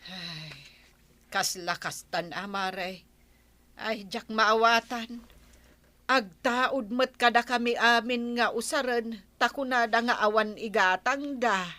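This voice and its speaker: A woman's voice: fairly high pitch, fairly even intonation, slow pace, sharp tone. A woman playing a plain-spoken, grumbling and resigned village wife in a radio comedy, with a Filipino accent. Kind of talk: Ilocano radio comedy drama acting.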